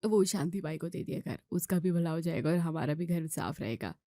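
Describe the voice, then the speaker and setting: Woman as a calm, preachy husband. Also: sits low, brisk, no echo, studio quality. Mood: preachy, dismissive. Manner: dismissive, advising. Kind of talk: acted Hindi family story dialogue.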